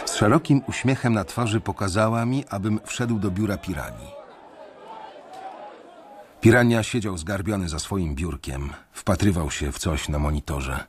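An actor narrating in first person as an anxious, sardonic bank employee facing layoff, in a measured, dry radio drama delivery. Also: male voice, low voice, slight background noise. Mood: wry. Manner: measured, dry, wry storytelling.

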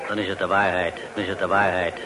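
An actor in a radio play as a blunt, insistent innkeeper with a matter-of-fact manner. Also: male voice, sits low, little pitch movement, quick pace, close to an everyday voice. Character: blunt, insistent male tavern keeper. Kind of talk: radio drama acting. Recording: radio play with loud tavern chatter.

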